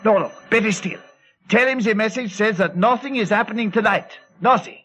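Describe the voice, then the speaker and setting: A man playing a menacing, touchy dwarf henchman in a radio serial, speaking with cold, formal, deliberate politeness. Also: sits high, fairly bright tone. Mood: threatening. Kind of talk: vintage radio serial acting. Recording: dull, band-limited old radio recording.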